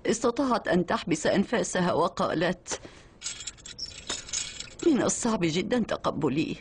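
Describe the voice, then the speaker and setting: Dignified old duchess voiced by a woman, lowish voice. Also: lively intonation, moderate pace, a little hiss or hum, natural voice. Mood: gracious, reminiscing. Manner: formal, stately. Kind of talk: dubbed Arabic cartoon acting. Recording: loud background music.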